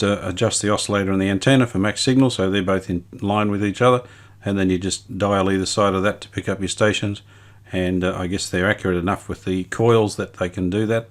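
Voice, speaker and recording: A man giving a casual hands-on repair demonstration, unscripted and thinking aloud as he works. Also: fairly low pitch. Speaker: host of radio repair video.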